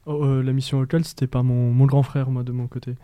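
A man's voice: medium pitch, flat delivery, fast talker, warm tone, clean tone, dry recording. A young man co-hosting a local radio show, asking the guests questions. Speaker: radio co-host. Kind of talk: casual radio interview.

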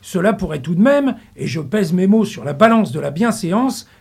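A man's voice: high voice, sing-song, moderate pace, vocal fry, darkish tone, breathy edge. A middle-aged man reading a humorous radio column in a studio, full of wordplay and mock-grand asides. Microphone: studio mic.

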